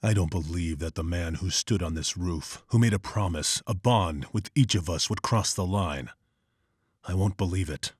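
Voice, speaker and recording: Deep, husky, brooding vigilante. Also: male voice, no room sound, studio quality. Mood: stern. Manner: terse, measured, somber. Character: grim, brooding male masked vigilante narrating his case. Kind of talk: scripted dramatic narration.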